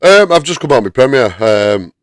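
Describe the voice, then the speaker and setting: A man with a deep voice, chatting modestly as a guest while nursing a cold and chest infection. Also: big pitch swings, normal speed, no room sound, clean studio sound.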